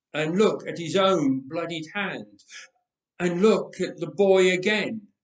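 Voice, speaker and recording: Slow-paced male storyteller in an echoey space. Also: fairly high pitch, fairly bright tone. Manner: measured, emphatic storytelling with dramatic pauses. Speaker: storyteller, reader. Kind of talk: dramatic scripted story narration. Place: roomy church interior. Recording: echoey church, distant microphone.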